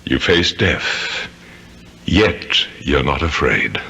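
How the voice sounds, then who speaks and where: A man's voice: very deep voice, lively intonation, extremely slow, creaky, sharp tone, reverberant, long reverb tail, heavily acted. An actor playing a centuries-old English nobleman's ghost in a 1970s radio drama, theatrical, commanding and archaic in speech. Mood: grim threatening.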